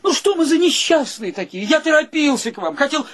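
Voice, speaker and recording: Exuberant poet in a radio drama, cheerful and excitable. His voice sits extremely high for a man and bounds up and down as he declaims. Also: brisk, exaggerated voice. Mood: exuberant, laughing. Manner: exclamatory, declamatory. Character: Gromov, excitable, cheerful young poet friend. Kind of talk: Soviet radio play acting.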